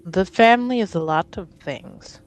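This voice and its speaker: A woman playing a grieving grandchild who pleads earnestly and haltingly in a tabletop roleplaying game. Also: medium pitch, natural voice, poor audio. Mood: earnest pleading. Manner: slow, halting, earnest delivery. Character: Ade, sincere, grieving grandchild pleading with an estranged relative.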